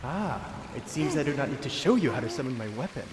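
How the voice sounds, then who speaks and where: A man's voice: medium pitch, expressive, fast talker, a loud crowd, lightly acted. A man playing a stern, haughty demon guardian with pompous, formal diction in an audio drama. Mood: indignant. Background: faint music.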